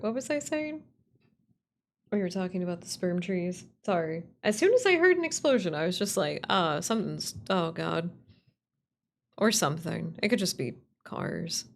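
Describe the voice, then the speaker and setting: A woman's voice: mid-range voice, creaky, a little hiss or hum. A woman livestreamer chatting casually and playfully with viewers, rambling and swearing as she goes. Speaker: streamer, writer and voice actor.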